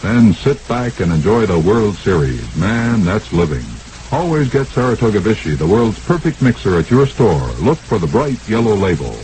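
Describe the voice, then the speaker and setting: A man's voice: fairly low pitch, big pitch swings, normal speed, darkish tone. An American man reading a scripted commercial in the style of 1960s radio. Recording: slightly noisy vintage radio broadcast.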